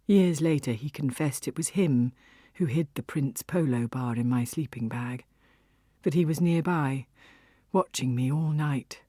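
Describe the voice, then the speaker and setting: A woman reading a first-person literary story as an audiobook narrator, with a husky voice. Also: rumbling low, measured pace, dark tone, dry recording, studio quality.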